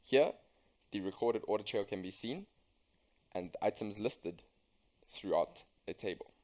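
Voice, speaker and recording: A young man reading a scripted software tutorial as a student demonstrator. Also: lowish voice, measured pace. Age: young adult.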